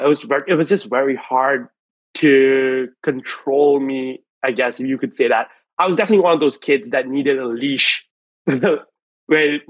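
A man's voice: medium pitch, no echo, rough recording. A young man from Malaysia, a podcast guest telling stories from his childhood in a loose, rambling way full of "like" and restarts. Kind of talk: casual storytelling interview. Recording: dry close mic, faint music behind.

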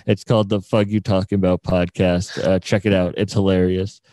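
A man's voice: low-pitched, flat delivery, normal speed, mellow, dry recording. A man hosting a podcast, interviewing in a casual, slangy and encouraging way. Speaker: podcast host interviewing a musician.

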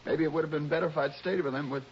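Gravelly, shaken young man played by an actor. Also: a little high, fairly even intonation, natural voice. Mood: grieving. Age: young adult. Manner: halting, faltering. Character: shaken, grieving young city-bred man. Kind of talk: 1960s radio drama acting. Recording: muffled, noisy old radio recording.

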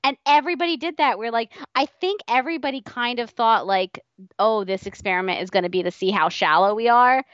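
A woman co-hosting a podcast in a casual, conversational way, quick to giggle. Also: on the high side, fairly bright tone, no echo, highs cut off. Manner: giggly, conversational, frequent laughter. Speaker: podcast co-host. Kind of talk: casual chat.

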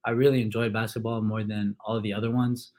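Low-voiced young man, relaxed talk. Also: a little fast. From Kuwait. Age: young adult. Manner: relaxed, many fillers, um and uh. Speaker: guest, college football player. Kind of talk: casual interview answers.

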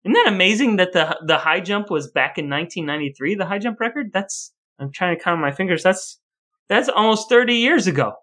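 Male co-host, slightly high and bright, forward tone, playfully mock-serious in easy banter. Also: animated, fairly quick. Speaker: podcast host. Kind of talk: casual banter.

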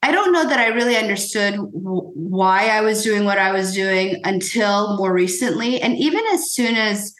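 An American woman giving reflective, thoughtful interview answers in conversation, phrased in measured pieces. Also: medium pitch, a bit bright. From United States. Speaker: podcast guest, physician. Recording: echoey, roomy sound.

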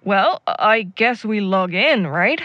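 A woman's voice: medium pitch, animated, slow pace, no echo, lo-fi sound. A young woman playing a caring, teasing traveler in an audio drama, speaking casually and expressively. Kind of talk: naturalistic audio drama acting.

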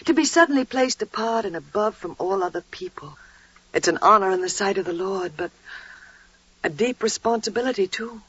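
An actress playing an older, devout and protective woman, gentle and solemn, in 1940s radio drama style. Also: medium pitch, expressive, fairly quick, slightly rough. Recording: dull, roomy 1940s radio recording.

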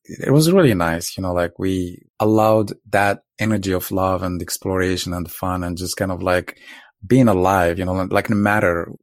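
A man's voice: deep voice, moderate pace, no echo, studio quality. A man from Morocco casually telling a couple's story, rambling and laid-back with lots of filler words.

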